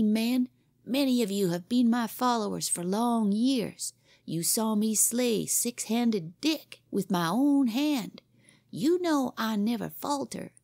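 A grandiose boy pirate captain with a raised, boyish pitch, as a woman puts him on. He orates in pompous, theatrical tones, and the voice has a creaky edge. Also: darkish tone, no room sound, studio quality, exaggerated voice. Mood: rousing.

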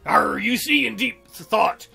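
A man's voice: high voice, very animated, slow, creaky, sharp tone, husky, full character voice. A man voicing a teasing, unbothered male pirate captain in drawling pirate talk for a visual novel. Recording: music under the voice.

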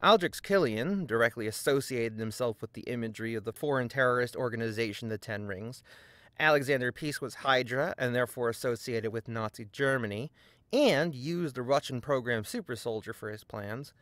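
A man narrating a scripted video essay in a deliberate, argumentative way, posing rhetorical questions. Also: mid-range voice, a bit bright, no room sound.

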